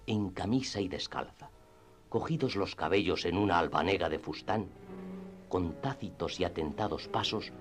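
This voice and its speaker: A man narrating classic literature in radio theatre style, with expressive storytelling and deliberate phrasing. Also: low-pitched. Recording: music under the voice. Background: background music.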